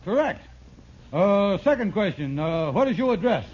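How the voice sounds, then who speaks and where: High pitched scheming sitcom husband, blustery n hesitant, muffled noisy old radio. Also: male voice, very animated, normal speed, a little mellow, breathy edge, exaggerated voice. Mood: blustering, slick. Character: fast-talking scheming husband and con man with poor grammar. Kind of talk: mid-century radio sitcom acting.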